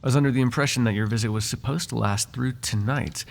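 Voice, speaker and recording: A man's voice: mid-range voice, fast talker, creaky, lightly acted. A man playing a devoted, flirtatious butler in an intimate ASMR roleplay, speaking in formal, genteel phrases with playful teasing. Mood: playful, lovesick. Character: devoted, flirtatious, gossip-loving male butler to a noble.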